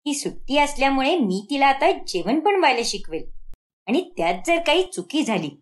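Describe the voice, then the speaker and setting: A woman's voice: sits high, very animated, moderate pace. A woman performs a stern middle-aged cartoon mother. She scolds with emphasis and sounds strict and easily exasperated, the head of a household laying down rules. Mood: scolding. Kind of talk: cartoon moral story acting.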